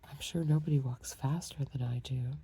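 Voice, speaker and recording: A woman's voice: very deep voice, fairly even intonation, normal speed, dark tone, a little hiss or hum. A woman voicing a sullen young male messenger in a whispered storybook reading.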